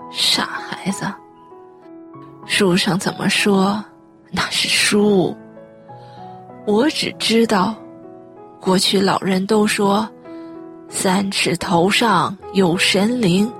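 A woman acting a frail, ailing mother in a Chinese radio drama, gentle and weary, with a breathy delivery. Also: medium pitch, extremely slow, pauses often, faint music behind. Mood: gently admonishing.

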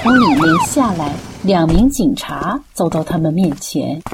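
A woman's voice: lowish voice, huge pitch swings, unhurried, slight background noise, heavily acted. A woman acting as the storyteller of a radio play, giving dramatic scripted narration.

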